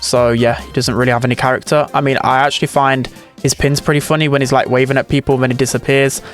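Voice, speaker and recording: A man's voice: mid-range voice, flat delivery, fast talker, faint music behind. A man giving casual, opinionated ranking commentary as host of a gaming video. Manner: chatty, opinionated.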